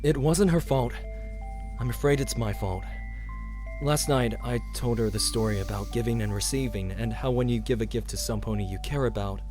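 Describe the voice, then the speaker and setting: A man voicing a gentle, apologetic father pony in a dramatic reading of a cartoon fan story. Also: medium pitch, moderate pace, over music, lightly acted.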